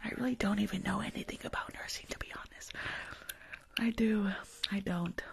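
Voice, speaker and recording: A woman's voice: mid-range voice, fairly even intonation, a little fast, vocal fry, pitched below the performer's own voice, close to an everyday voice. A woman playing a rude, unqualified school nurse in an ASMR roleplay, bossy and mock-reassuring, repeating herself. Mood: casual, confiding. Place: small indoor room.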